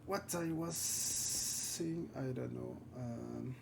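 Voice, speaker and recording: A gravelly-voiced man from France speaks English with a French accent, slowly and haltingly, reaching for words between fillers. He chats with viewers while he paints during a live stream. A faint hum of room noise sits under his voice. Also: medium pitch. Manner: thinking aloud while painting. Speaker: concept art streamer. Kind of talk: live art stream chat.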